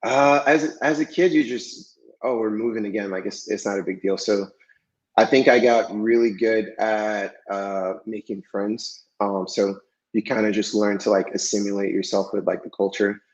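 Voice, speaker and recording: A man guest in a casual podcast interview, talking in a relaxed, hesitant way with many fillers, French his first language. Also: lowish voice, normal speed. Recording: dull lo-fi sound.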